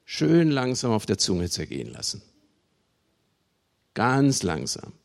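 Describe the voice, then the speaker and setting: A man from Germany preaching a sermon, with emphatic delivery, deliberate pauses and conversational asides to his listeners. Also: on the low side, big pitch swings, vocal fry. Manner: emphatic, with dramatic slow phrasing and pauses. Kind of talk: sermon to a congregation.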